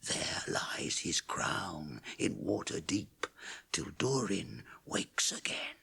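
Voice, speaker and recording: An actor playing a proud, solemn dwarf in a radio drama, grave and declamatory, with a gravelly, husky voice. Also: male voice, low-pitched, expressive, takes it slowly, sharp tone, in a whisper, exaggerated voice. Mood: solemn, mournful chanting. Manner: grave, declamatory, chanting old verse. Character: proud, solemn dwarf mourning his people's lost kingdom. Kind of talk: 1980s radio drama acting.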